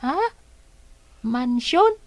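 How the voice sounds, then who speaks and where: A woman's voice: a little high, sing-song, very slow, no room sound, full character voice. A Filipino woman playing a curious, naive child in a radio drama. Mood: curious, amazed.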